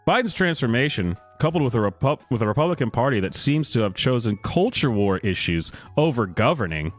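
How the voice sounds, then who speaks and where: A man reading a news story aloud as a commentary host, mock-dramatic, with sarcastic asides and theatrical pauses. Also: medium pitch, sing-song. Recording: music playing behind the voice. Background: music.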